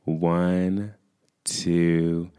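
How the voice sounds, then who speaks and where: A man hosting a casual solo podcast, chatty and full of fillers, his voice a little raspy as he recovers from losing it. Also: low-pitched, level tone, extremely slow. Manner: chatty, filler-heavy, addresses listeners as "you guys". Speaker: podcast host.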